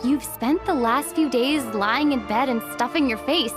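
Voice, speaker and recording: Woman playing a composed traveler, slightly high voice. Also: over music, lightly acted. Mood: wry. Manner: measured, wry. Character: composed young woman traveler, dryly teasing. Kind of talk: animated game cutscene acting.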